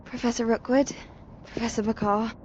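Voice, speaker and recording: A woman playing an earnest, high-voiced schoolgirl witch. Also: flat delivery, normal speed, a little mellow, dull audio, slight background noise, lightly acted. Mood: earnest, curious. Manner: polite, earnest. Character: earnest, respectful teenage witch student, curious about magic. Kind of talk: dramatic game cutscene acting.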